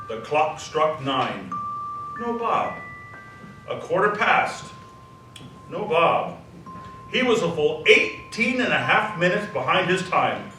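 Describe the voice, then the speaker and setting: A man narrating a scripted drama in a theatrical storytelling manner. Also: a little high. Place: live theatre stage. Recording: echoey stage from far off, faint noise.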